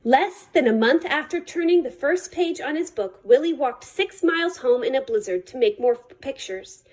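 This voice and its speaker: A woman reading a children's picture book aloud in an expressive storytelling style, with measured, dramatic phrasing. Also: sits high. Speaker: reader of a children's picture book, library staff.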